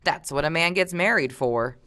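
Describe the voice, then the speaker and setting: A woman acts out an old-fashioned dad from an audiobook. He is plain-spoken and folksy, a gruff father of sons. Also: sits low, expressive, moderate pace, bright, forceful tone. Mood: dismissive.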